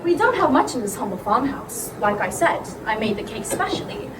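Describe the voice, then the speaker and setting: A woman's voice: high voice, sing-song, a little fast. A woman playing a humble, polite farm schoolgirl in a theatrical comedy, deferential and chatty but innocently evasive. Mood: eager, hospitable. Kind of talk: theatrical comic drama. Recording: roomy, distant, clearly noisy recording.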